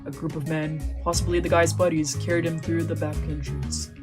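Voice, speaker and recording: A woman reads a gritty visual novel aloud in a casual, profanity-laced manner. Her voice sits low, and faint game music plays underneath. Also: little pitch movement, brisk. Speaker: VTuber playing a visual novel.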